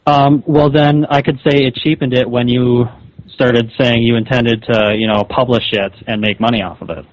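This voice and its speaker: A man's voice: medium pitch, steady tone, moderate pace, dry recording. A man arguing over the phone in an insistent, scolding, confrontational way. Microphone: telephone call. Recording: dull lo-fi phone call recording.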